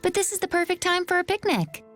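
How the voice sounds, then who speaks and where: A woman playing a poised, serene, gently teasing young warrior in a video game. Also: very high-pitched, expressive, fast, faint music behind.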